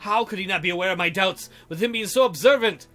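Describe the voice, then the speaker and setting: A man's voice: high-pitched, quick pace, a bit bright, faint music behind. A man reading a visual novel aloud in a theatrical way, adding irreverent joking commentary.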